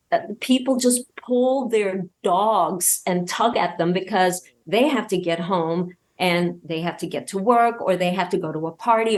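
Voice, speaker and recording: A woman talking casually as an interview guest, hesitant and wandering as she searches for words. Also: mid-range voice. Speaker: podcast guest and voice actor.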